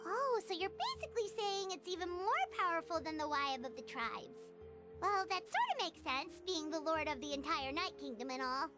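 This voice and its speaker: Super high squeaky fairy kid, chirpy n jumpy, swoops everywhere, game music behind. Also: female voice, moderate pace. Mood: curious. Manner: childlike, expressive, refers to self in third person. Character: tiny childlike fairy companion, chirpy, excitable, easily scared. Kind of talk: cartoonish video game voice acting.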